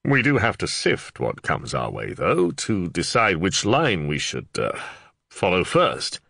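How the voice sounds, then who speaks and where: A calm under-sheriff used to being obeyed, measured and commanding, played by a male narrator. The delivery is lively in pitch with a slight gravel. It has dry, close studio sound for a storybook audiobook. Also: no echo, exaggerated voice. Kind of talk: audiobook character voice.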